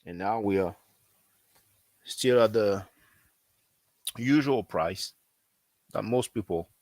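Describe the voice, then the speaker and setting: A man who is not a native English speaker, talking casually as a language teacher, repeating himself often and filling pauses with "you know.". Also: fairly low pitch, a little mellow, dry recording. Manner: repetitive, conversational, frequent fillers. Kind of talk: casual promotional talk.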